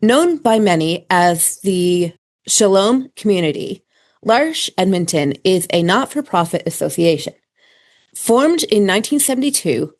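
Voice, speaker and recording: A woman's voice: mid-range voice, darkish tone. A woman radio host giving a scripted introduction and asking her guest questions. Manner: reads from a prepared script.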